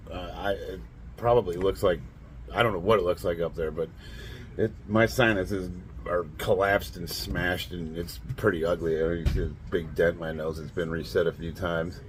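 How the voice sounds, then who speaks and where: A man in his late forties with a raspy, nasal, stuffed-up voice, rambling bluntly and laughing often as he talks to camera. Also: low-pitched, slight background noise. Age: 40s. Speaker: fitness vlogger. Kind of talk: casual monologue to camera.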